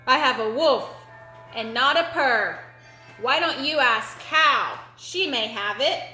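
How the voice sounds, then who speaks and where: A blunt storybook dog, acted out by a woman, startled and loud. It barks its words out brightly and emphatically in an echoey room. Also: sits high, lively intonation, slow pace, soft background music, full character voice. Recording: roomy echo.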